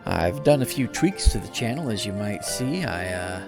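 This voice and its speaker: A man's voice: lowish voice, expressive, moderate pace. A man casually chatting as a live game streamer, in an easygoing, informal way. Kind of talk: casual livestream chat. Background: music.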